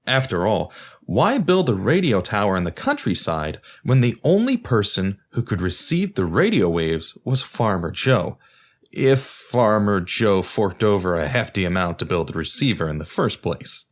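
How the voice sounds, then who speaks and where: A man hosting a podcast, reading scripted educational narration in a conversational way with casual asides. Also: lowish voice. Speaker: podcast host.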